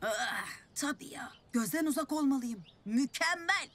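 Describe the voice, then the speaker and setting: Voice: sits high, expressive, unhurried, background noise. An actor voicing a feisty, impulsive ten-year-old boy hero in a cartoon dub. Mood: exasperated.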